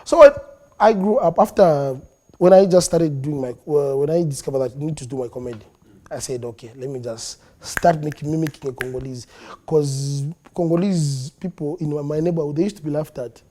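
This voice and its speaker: A man with a Zambian accent, a comedian being interviewed in a studio, animated and quick to laugh, slipping into local languages now and then. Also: fairly high pitch. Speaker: comedian guest. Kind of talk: interview talk.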